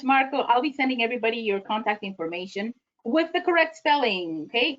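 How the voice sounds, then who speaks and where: Higher-voiced woman hosting an online event. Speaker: event host. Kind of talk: formal speaker introductions at a virtual event. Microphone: online video call. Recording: slightly dull online call audio.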